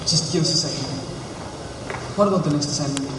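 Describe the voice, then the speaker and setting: Stage play: actor as rigid, judging male writing teacher, high register, heard across a reverberant hall. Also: fast talker, lightly acted. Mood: earnest, restrained. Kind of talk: student stage drama acting. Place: theatre stage, recorded from afar. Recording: echoey hall, far mic, noisy background.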